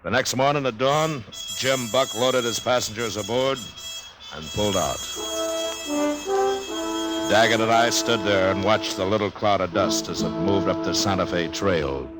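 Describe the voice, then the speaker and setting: An American actor playing a stern, weary, decent frontier marshal, terse and plainspoken, in a 1950s Western radio drama. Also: male voice, lowish voice, measured pace, loud music behind the voice, natural voice, low-quality recording. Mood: grave narration. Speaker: lead character and narrator.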